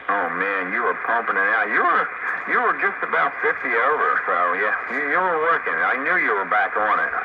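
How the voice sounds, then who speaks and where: A man with a lowish voice chats casually as a radio operator. The transmission is muffled, with very loud static over his words. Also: very animated, fairly quick.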